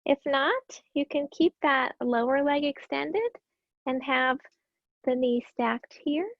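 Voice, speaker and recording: Yoga teacher, female, high-pitched and raspy, gentle breath cues, dry and dull lo-fi sound. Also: slow, no room sound. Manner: calm, gentle cueing with breath. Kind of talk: guided yoga class instruction.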